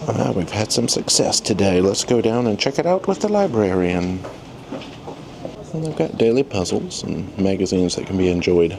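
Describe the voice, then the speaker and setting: Animated low-voiced man, vlogging. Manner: playful, exclamatory. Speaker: travel vlogger. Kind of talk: casual vlog narration. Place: on board a cruise ship, various spaces. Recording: clear background noise, ship ambience.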